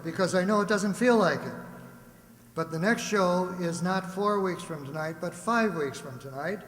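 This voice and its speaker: Folksy male announcer in an echoey hall. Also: fairly high pitch. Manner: rambling, folksy asides. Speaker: announcer of a community radio-play group. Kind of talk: live stage announcing, folksy and conversational. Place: reverberant hall before a live audience. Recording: echoey hall, clearly noisy background.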